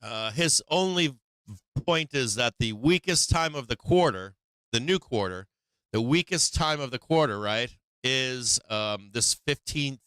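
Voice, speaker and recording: Bright, clear-voiced man hunting for words. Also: mid-range voice, animated, no room sound, poor audio. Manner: halting, searching for words, frequent restarts. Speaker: host of a stock market livestream. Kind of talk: casual live chart commentary.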